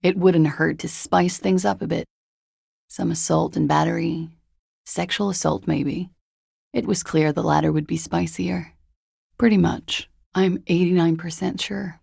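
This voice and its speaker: An actress playing a cool, guarded young woman in a video game, with a husky, breathy, gravelly voice and a languid, dryly understated delivery. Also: lowish voice, fairly even intonation, unhurried, darkish tone, no room sound, heavily acted. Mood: wry, detached. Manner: languid, dry, wryly understated. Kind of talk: video game character dialogue.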